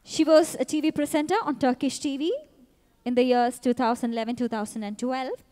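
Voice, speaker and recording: A woman announcer reading scripted introductions of pageant contestants in a measured, even way. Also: high voice. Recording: long hall reverb.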